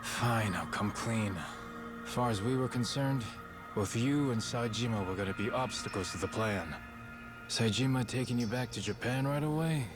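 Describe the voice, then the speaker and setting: A man playing a frank, weary middle-aged yakuza boss in a video game, talking in gruff, matter-of-fact slang. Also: medium pitch, normal speed, close to an everyday voice. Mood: resigned, frank. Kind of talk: video game cutscene acting. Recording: loud music behind the voice.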